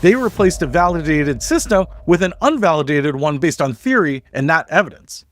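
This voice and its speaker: A man reading scripted explanatory narration in a measured, emphatic, structured way, as in a video essay. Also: a little high, animated, no echo. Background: background music.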